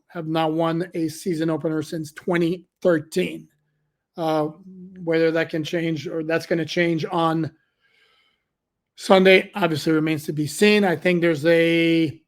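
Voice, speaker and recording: A middle-aged man hosting a solo sports podcast, talking conversationally with fillers and self-corrections, his voice cracking at times. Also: a little high. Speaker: podcast host.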